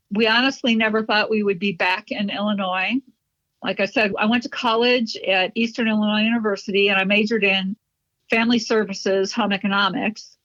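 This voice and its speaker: A middle-aged woman from Chicago talks through her memories as an interview guest, warm in a chatty, reminiscent way. Her voice sits at an ordinary pitch. The recording is dry and a bit dull, with little high end. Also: no echo. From Chicago, USA. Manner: chatty, reminiscent, light laughs. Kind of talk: conversational interview storytelling. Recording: dull, slightly muffled, dry.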